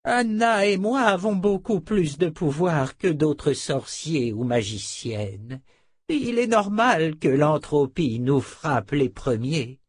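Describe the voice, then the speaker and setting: A man's voice: on the high side, sing-song, takes it slowly, no room sound, studio quality, pitched above the performer's own voice, full character voice. A man voicing a kindly old wizard, weary and short of breath, in an audiobook fantasy tale. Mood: weary, insistent. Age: older. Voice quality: breathy, faltering.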